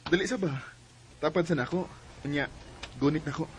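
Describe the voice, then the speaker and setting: A man's voice: fairly high pitch, normal speed, close to an everyday voice. A man playing a young suitor, coaxing and casually fickle, in a Philippine radio drama.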